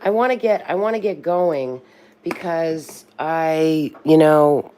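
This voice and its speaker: Low-voiced woman in her sixties rambling live. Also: takes it slowly, dark tone, a little hiss or hum. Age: 60s. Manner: chatty, sings snatches of birthday songs to herself. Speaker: livestream host. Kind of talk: rambling casual livestream chat.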